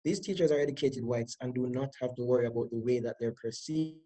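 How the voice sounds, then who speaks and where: A man speaking as a teacher on a scripted panel discussion, measured and read from a script. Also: medium pitch, mellow.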